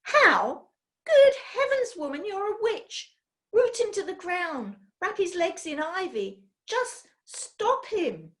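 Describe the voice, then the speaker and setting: A woman voicing a stern, bossy witch who gives orders in a high, emphatic voice. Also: sing-song, slow pace, fairly bright tone, heavily acted. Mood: exasperated. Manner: severe, commanding, high and emphatic.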